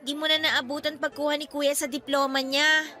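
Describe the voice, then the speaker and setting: Actress as cheeky girlish younger sister, extremely high bright voice, impatient, radio love drama. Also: little pitch movement, normal speed. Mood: excited.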